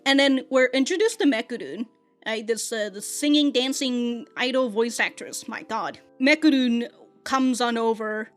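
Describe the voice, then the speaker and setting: A woman's voice: a little high, fairly bright tone. A woman hosting an anime reaction, chatting casually, laughing often and stumbling over repeated words.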